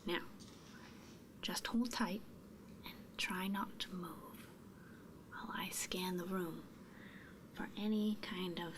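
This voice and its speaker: A woman performing an ASMR roleplay in a soft, breathy whisper, gently inquisitive and murmuring, close to the microphone. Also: lowish voice, steady tone, slow pace, pauses often, background noise. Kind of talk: whispered ASMR roleplay as a ghost removal technician.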